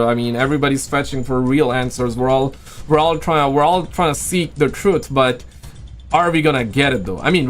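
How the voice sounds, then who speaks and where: A man's voice: medium pitch, a bit bright. A man hosting a casual livestream, rambling in slang and repeating his phrases, with a voice that is starting to give out. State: losing his voice. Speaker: livestream host and video creator. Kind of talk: casual livestream chat with viewers.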